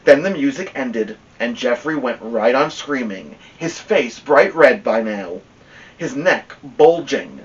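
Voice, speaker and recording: A man reading a children's novel aloud as an expressive storyteller, with dramatic pauses and drawn-out emphasis on key words. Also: medium pitch. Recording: dull lo-fi sound, distant from mic.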